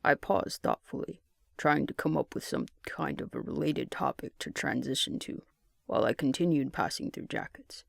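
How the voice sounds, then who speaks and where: Male audiobook narrator, higher-set voice with heavy fry, first-person story reading. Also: normal speed, fairly bright tone, no echo, clean studio sound.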